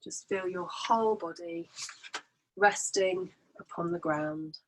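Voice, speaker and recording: A woman leading a guided relaxation as a meditation teacher, soothing and gently instructing in an unhurried way. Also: fairly low pitch, a little hiss or hum, poor audio.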